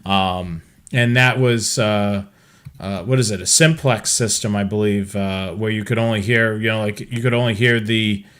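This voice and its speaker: A man hosting a casual talk, explaining things in a halting, conversational way. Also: lowish voice, fairly even intonation, a bit bright, clear, resonant tone. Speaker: host.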